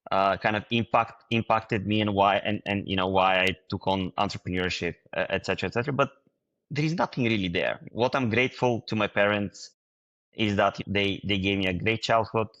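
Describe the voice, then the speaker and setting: Low-voiced founder with halting speech. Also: male voice, a bit bright, no echo, lo-fi sound. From Bulgaria. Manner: halting, many restarts and fillers. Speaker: startup founder guest. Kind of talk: podcast interview answers.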